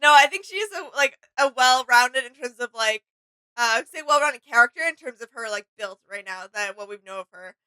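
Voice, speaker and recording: A woman's voice: on the high side, expressive, a little fast, pushed and bright, smooth, poor audio. A young woman co-hosting a reaction video in casual, conversational style. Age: young adult. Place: small indoor room with desk and shelves.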